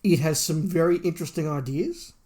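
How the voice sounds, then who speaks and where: A man's voice: fairly high pitch, brisk, dark tone. A man co-hosting a review podcast, going through a story and giving his opinion of it. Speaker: podcast host. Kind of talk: book review discussion, partly reading a plot summary.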